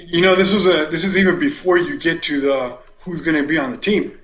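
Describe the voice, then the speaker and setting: In a roomy, echoing space, a man in his forties talks in a fairly high voice. He is a native Spanish speaker from California, an interview guest telling stories in a casual, animated way. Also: a little fast, slight background noise. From East San Jose, California, United States. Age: 40s. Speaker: interview guest, community politics commentator. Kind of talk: casual personal storytelling.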